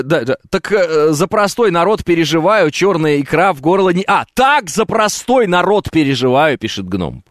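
A man's voice: medium pitch, no room sound, clean studio sound. Wry Russian-speaking man chatting to viewers. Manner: wry, joking, hesitant with fillers. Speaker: streamer reading chat. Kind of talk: casual live stream chat. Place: at home, on camera.

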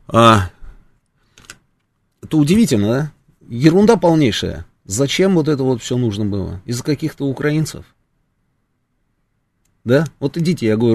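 A man's voice: mid-range voice, dry recording. A man hosting a call-in radio talk show, chatting with callers and often cutting in with short agreements.

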